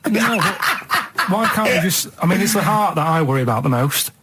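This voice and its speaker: A man's voice: high voice, expressive, fairly quick, heavy background noise. Blunt Mancunian man in his thirties. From Manchester, England. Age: 30s. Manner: reluctant, blunt, plain-spoken. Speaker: radio show sidekick. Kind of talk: casual chat.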